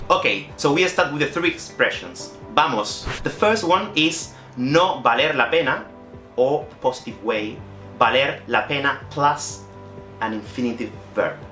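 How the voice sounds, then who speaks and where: A man's voice: a little high, fairly bright tone, roomy sound. A man with a Spanish accent, a native Spanish speaker, teaching a language lesson as an online video presenter, explaining step by step with examples. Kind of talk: instructional video presentation to camera.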